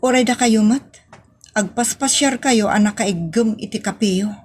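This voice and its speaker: A woman's voice: medium pitch, measured pace, a little mellow, a little hiss or hum, close to an everyday voice. A woman with a Filipino accent playing a wronged but proud office employee, pleading yet defiant, in a radio-style drama. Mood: defensive.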